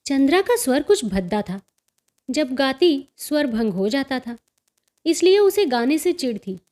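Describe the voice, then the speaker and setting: A woman reading a literary story as an audiobook narrator, in an expressive, enunciated way. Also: sits high, a little slow.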